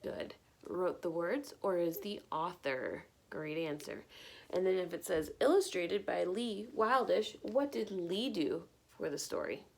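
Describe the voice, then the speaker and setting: A woman reading a picture book aloud like a teacher, expressive and encouraging, pausing to put questions to young listeners. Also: lowish voice, creaky, low-quality recording.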